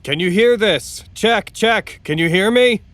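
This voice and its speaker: A man's voice: high-pitched, a little slow, fairly bright tone, no room sound. An actor playing a wry, anxious male facility officer in an audio drama, with formal, articulate speech. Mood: brisk, focused.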